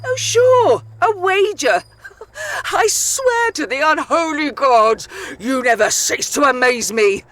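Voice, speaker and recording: A woman's voice: high voice, sing-song, takes it slowly, a bit bright, heavily acted. A woman playing a fierce, protective dusk elf vampire in an audio drama, bickering and doting theatrically. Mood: angry, mocking.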